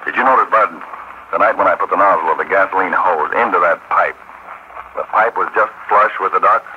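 A man acting an authoritative intelligence chief in a 1940s radio play. His low voice is calm and methodical, on a dull recording with faint noise. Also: a little fast, lightly acted. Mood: explanatory. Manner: methodical, commanding, explanatory. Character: calm, authoritative male American counterspy chief.

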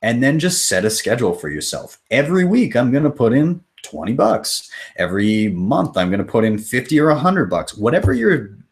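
A man's voice: mid-range voice, big pitch swings, normal speed, hardly any pauses. A man in his thirties hosting a casual live question-and-answer stream from a small room at home. He talks loosely and swears casually, reading out viewer comments and answering them off the cuff. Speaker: crypto channel host.

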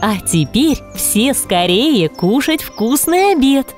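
A woman voicing a caring mother pig in a children's toy cartoon, motherly, instructive and gently scolding. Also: fairly high pitch, sing-song, takes it slowly, soft background music.

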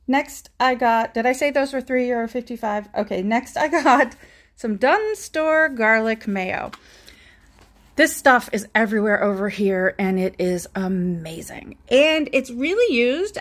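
A woman's voice: mid-range voice, fairly bright tone. A woman vlogger chatting casually and rambling as she shows her grocery haul at a table at home, recorded on a handheld phone. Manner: chatty, rambling, self-deprecating asides. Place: home kitchen table, indoors.

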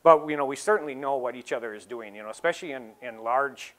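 A middle-aged dairy farmer giving a casual talk in a large exhibition hall, easygoing and full of "you know" fillers. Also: male voice, medium pitch, fast talker, fairly bright tone. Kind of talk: casual talk to a seated audience.

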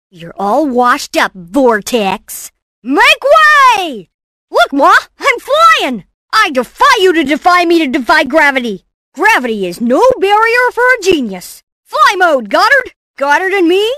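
A brainy, excitable boy inventor from a cartoon game. The voice is bright and forceful, leaping around in pitch, yet takes its time over each theatrical, cocky exclamation. The sound is close and dry. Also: no room sound, heavily acted. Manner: theatrical, self-assured, punchy delivery. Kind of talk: animated game voice clips, short exclamations and catchphrases.

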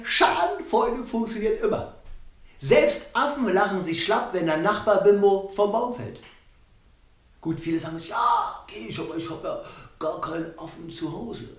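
Male comic, middle-aged, conversational and jokey, a little high in pitch, roomy home-recorded sound. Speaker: comedian and cabaret artist. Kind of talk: comedy monologue to camera. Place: small rooms of a flat, indoors. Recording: echoey room.

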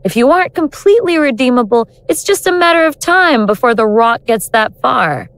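A woman playing an awakened ancient goddess in an audio drama, speaking in a measured, sardonic, grandiose way with cold contempt. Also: high-pitched, big pitch swings, no echo. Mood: scornful, bitter.

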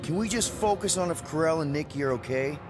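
A man's voice: a little high, expressive, fast, big-room sound, over music, natural voice. Casual, awkwardly earnest space adventurer played by a man. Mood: defensive. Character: easygoing, awkward male space-adventurer team leader. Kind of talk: naturalistic video game cutscene acting.

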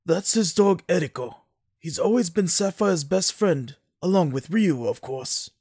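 A man playing a brooding, caring uncle and clan lord in an amateur anime audio drama, earnest and measured. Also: high-pitched, animated, a little mellow, slightly rough, exaggerated voice. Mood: gentle.

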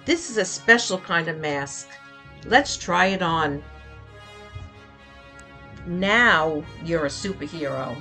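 A woman voicing a patient, encouraging mother of a young girl in a measured storybook reading. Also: lowish voice, lively intonation, sharp tone, faint music behind, full character voice.

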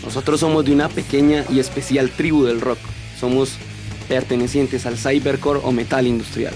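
A man's voice: medium pitch, fairly even intonation, moderate pace, music in the background. A man in his thirties with a Colombian accent, a musician guest answering questions in a radio skit, close on a studio mic. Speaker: band bassist, guest. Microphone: close broadcast microphone.

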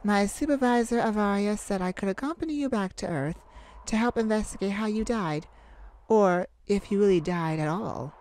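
A woman's voice: medium pitch, moderate pace, a little mellow, lightly acted. A woman voicing a courteous, easily flustered, ageless celestial afterlife caseworker in an ASMR roleplay, hesitant and apologetic. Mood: pleased. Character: polite, flustered, thousands-year-old celestial afterlife caseworker.

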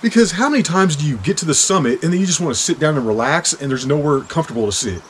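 A man's voice: on the high side, fast talker, slight background noise. A man in his forties from Kansas, reviewing hiking gear in a chatty, joking way on an outdoor deck. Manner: chatty, jokey, bursts of excitement. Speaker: hiking vlogger. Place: outdoor back deck in winter.

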